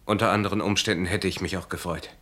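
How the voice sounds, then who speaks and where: A serious, concerned man in a German radio drama. His voice sits on the low side. He admonishes earnestly, as a loyal friend would. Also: fairly even intonation, fast, sharp tone. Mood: urgent warning. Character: earnest, concerned male friend giving stern warnings. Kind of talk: German radio play acting.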